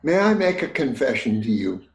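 A man's voice: medium pitch, very animated, normal speed. An older man playing an earnest, smitten suitor in a romantic comedy audio play, gallant and poetic with flowery, hesitant, old-fashioned phrasing. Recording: roomy, echoing voice recording.

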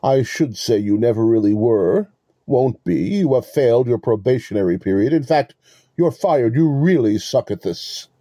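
Man acting a smug, dark-voiced, husky con artist. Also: mid-range voice, expressive, moderate pace, no echo. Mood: scornful, commanding. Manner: pompous, mock-courteous, lecturing. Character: smug, verbose male con artist posing as police lieutenant. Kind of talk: two-person audio play acting.

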